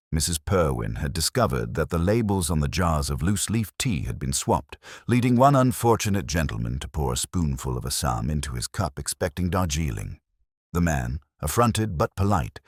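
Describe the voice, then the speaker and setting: Really deep husky guy reading a mystery story, measured, voice moves around a lot. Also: dry recording, clean studio sound. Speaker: narrator. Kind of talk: scripted mystery story narration.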